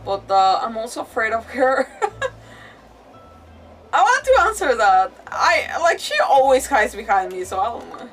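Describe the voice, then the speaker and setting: High-voiced woman streamer, slightly distant. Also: big pitch swings. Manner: rambling, giggly, halting with repeated words. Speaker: live game streamer. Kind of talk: casual chat, reacting to a game. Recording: distant mic, game music behind. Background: game music.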